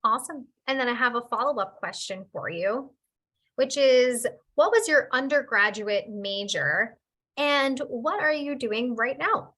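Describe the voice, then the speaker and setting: A woman's voice: on the high side, measured pace, a bit bright. A woman moderating a university webinar, introducing the students and asking them questions in a cheerful, upbeat way over a video call.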